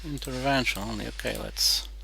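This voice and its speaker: A man playing a strategy game and thinking aloud in terse, halting remarks, muttering to himself as he weighs his moves. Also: mid-range voice, vocal fry, pushed and bright, slight background noise, low-quality recording. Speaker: board game player.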